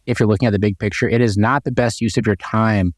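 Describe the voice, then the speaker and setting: A man's voice: lowish voice, fairly even intonation, fast, no echo, clean studio sound. A man co-hosting a real estate podcast, chatting casually and upbeat with lots of fillers and restarts. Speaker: podcast co-host.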